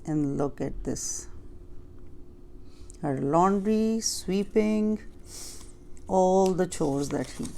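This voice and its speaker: A woman reads a children's picture book aloud in a fairly low voice with noticeable creak. She goes very slowly and pauses to explain things simply to young listeners. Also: dark tone, slight background noise. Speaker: storyteller reading to children.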